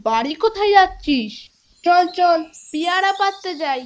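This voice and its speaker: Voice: very high-pitched, expressive, normal speed. A performer voicing a timid, wistful village boy in a cartoon, the older brother of a little girl. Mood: uneasy. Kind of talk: Bengali cartoon acting.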